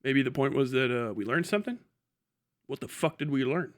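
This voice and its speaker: A man hosting a live stream, giving casual, sweary political commentary in a sarcastic, animated way. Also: medium pitch, fairly quick. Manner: sarcastic, acts out imagined conversations in different voices.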